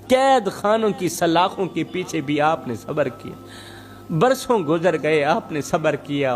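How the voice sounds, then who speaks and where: A man delivering an Islamic moral sermon as a preacher and storyteller, speaking emphatically with rhetorical repetition and exhortation. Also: high-pitched.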